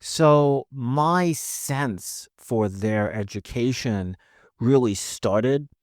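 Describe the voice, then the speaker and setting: An American man in his fifties, a podcast guest telling his personal story conversationally in a halting, self-correcting way. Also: medium pitch, animated, dry recording, studio quality. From northeastern United States. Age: 50s.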